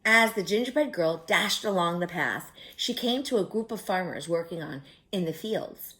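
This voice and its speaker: A woman reading a children's story aloud in an expressive, drawn-out way, with chatty asides to young listeners. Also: lowish voice.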